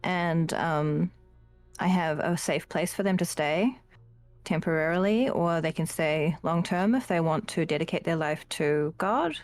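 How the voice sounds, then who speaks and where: A woman playing a tabletop roleplaying game, describing her character's actions with hesitant pauses. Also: sits low. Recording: music playing behind the voice. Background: music.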